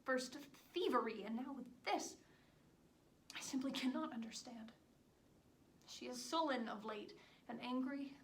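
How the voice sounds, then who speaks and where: A woman plays a devoted, proud country wife in a period stage monologue. The voice is fairly high, with earnest, formal, old-fashioned diction. It is picked up from a distance in a reverberant room, with a faint layer of noise behind it. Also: measured pace, long pauses. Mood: upset, apologetic. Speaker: stage actress performing monologues. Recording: echoey room, distant mic, background noise.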